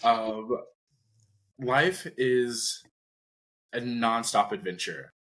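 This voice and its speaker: A man's voice: medium pitch, drawn-out words, a bit bright, poor audio. A man hosting a podcast, joking and casual as he interviews a guest. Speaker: podcast host.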